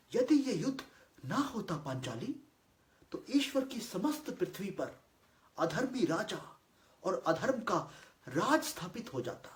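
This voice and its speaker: A man performing a theatrical monologue as a solemn god, declaiming deliberately with weighty pauses in formal speech. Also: sits high. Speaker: monologue actor. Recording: roomy, echoing voice recording.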